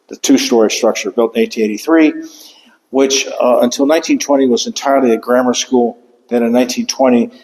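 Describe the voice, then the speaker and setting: An older man giving an author talk in a lecture room, speaking haltingly with many fillers and restarts. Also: mid-range voice, a little mellow. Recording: long hall reverb.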